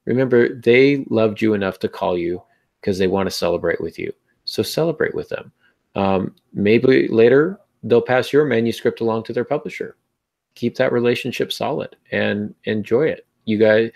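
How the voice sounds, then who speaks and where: A man's voice: mid-range voice, vocal fry, darkish tone. A man hosting a podcast, talking conversationally and encouragingly as he gives advice straight to the listener. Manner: encouraging, addresses listener directly. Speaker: podcast host.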